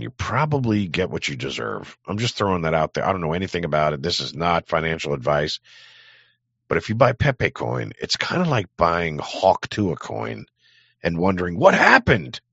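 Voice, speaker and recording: A man's voice: low voice, gravelly, fairly bright tone, breathy edge, no room sound, dull audio. A man hosting a livestream, rambling through a profane, sardonic political rant. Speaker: livestream host.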